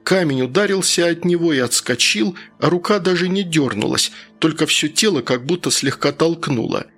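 Мужчина-чтец, голос чуть выше среднего. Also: male voice, on the high side, moderate pace, fairly bright tone. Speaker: audiobook narrator. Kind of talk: scripted first-person story narration. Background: faint background music.

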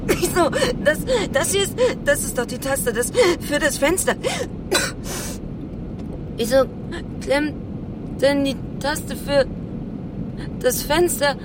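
A woman's voice: slow, pauses often. An actress playing a desperate, panicking woman in a radio play, crying and stammering in broken words. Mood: desperate, tearful. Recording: loud car rumble and noise.

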